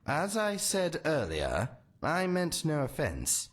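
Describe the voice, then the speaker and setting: A man's voice: on the high side, huge pitch swings, slow pace, full character voice. A man voicing a haughty, impatient character in a game who talks down to others. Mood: stiff apology. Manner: condescending, impatient. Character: haughty curt man sending errands.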